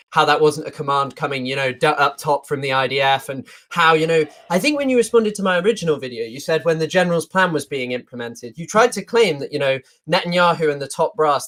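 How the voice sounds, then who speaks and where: A man's voice: a little high, fairly bright tone. A man arguing as a guest in a heated online debate, smug and sarcastic, talking over the other side.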